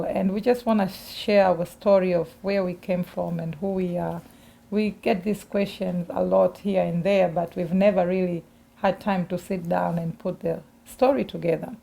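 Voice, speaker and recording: Gravelly-voiced Tanzanian woman pastor. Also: on the low side, warm tone, poor audio. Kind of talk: personal interview, telling life story.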